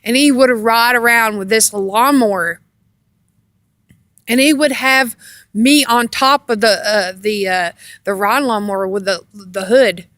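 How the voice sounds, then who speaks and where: A woman casually telling rambling personal stories in a halting, meandering way, often repeating herself. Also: a little high, a bit bright, dry recording, studio quality. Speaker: storyteller on own video channel.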